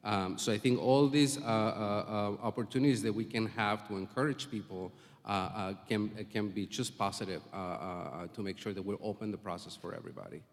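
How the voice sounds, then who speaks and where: Earnest low-voiced man testifying. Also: fairly quick. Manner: earnest, with frequent filler sounds. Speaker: community advocate testifying. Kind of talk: testimony at a public hearing. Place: public hearing in a school building. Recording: long reverb of a hearing hall.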